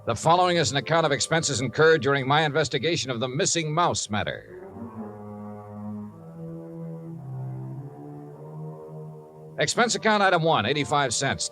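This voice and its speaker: A man's voice: medium pitch, big pitch swings, a little fast, fairly bright tone. An American man playing a wry, breezy, wisecracking insurance investigator in a 1950s radio drama, with punchy delivery. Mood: brisk, dry. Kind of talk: 1950s radio detective acting and narration. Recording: 1950s radio recording, music behind.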